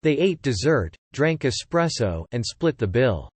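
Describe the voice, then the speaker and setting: A man's voice: mid-range voice, sing-song, measured pace, slightly rough, dry recording. A man reading scripted audiobook narration as the story's narrator.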